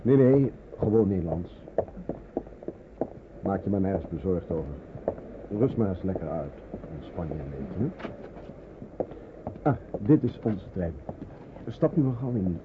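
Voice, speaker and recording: Low-voiced calm escort, tinny recording. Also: male voice. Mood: reassuring, brisk. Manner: reassuring, brisk, discreet. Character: calm, protective male escort on wartime train journey. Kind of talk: radio play acting. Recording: tinny noisy old radio play recording.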